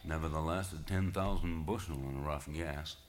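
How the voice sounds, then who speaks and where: A man voicing a huge, surly old sea serpent who talks in a gruff, threatening way. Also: rumbling low, normal speed.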